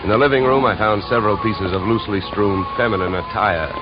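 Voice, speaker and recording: An actor playing a wry, deadpan insurance investigator in a 1950s radio detective drama, brisk and wisecracking. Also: male voice, on the low side, close to an everyday voice. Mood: wry, sardonic. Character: wry, hard-boiled male insurance investigator, narrating his case. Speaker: lead actor and narrator. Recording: dull vintage radio recording, music behind.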